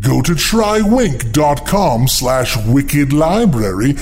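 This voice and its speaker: A man's voice: medium pitch, sing-song, slow pace, a little mellow, faint music behind. A man playing a theatrical, teasing, mock-sinister horror host, delivering scripted podcast narration with relish for the macabre. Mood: teasing salesmanship.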